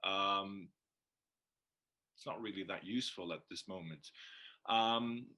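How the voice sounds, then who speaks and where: A man co-hosting a language-learning show as the teacher, explaining things in a measured, halting, semi-formal way. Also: deep voice, bright, forceful tone. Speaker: co-host and Turkish teacher.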